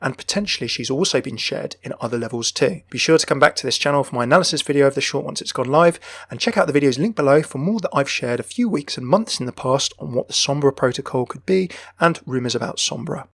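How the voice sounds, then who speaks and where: Young man, quick pace, dry close recording, scripted gaming narration, enthusiastic host. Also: mid-range voice, vocal fry, a bit bright, breathy edge, no room sound. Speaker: video game channel host. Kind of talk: scripted narration, lore commentary. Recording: dry close voice over music. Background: background music.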